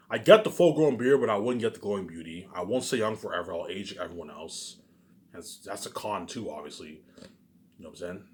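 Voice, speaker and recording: A man with a lowish voice rattles off a quick, rambling monologue to his viewers. He is boastful and swears freely, looping back with the same filler words again and again. A faint hum of room noise sits behind him. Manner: repetitive, keeps adding "obviously"; profane and boastful. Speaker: self-published writer vlogger. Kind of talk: rambling solo rant to viewers.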